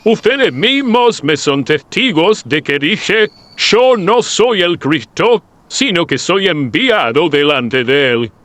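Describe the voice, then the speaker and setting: A man's voice: fairly high pitch, animated, slow pace, fairly bright tone, dry recording. A man playing an earnest, humble prophet in a dramatized scripture audiobook, speaking in a solemn, declamatory way. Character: John the Baptist, earnest humble male prophet.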